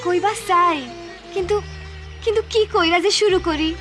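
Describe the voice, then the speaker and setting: A woman's voice: very high-pitched, moderate pace, over music. A woman voicing a worried, studious teenage village girl in a cartoon, earnest and plaintive. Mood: anxious. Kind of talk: cartoon voice acting.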